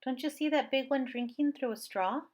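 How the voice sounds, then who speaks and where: A woman voicing a spirited, loving old grandmother in a storybook, folksy and emphatic as she gently scolds. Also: high voice, normal speed, pitched above the performer's own voice. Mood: teasing.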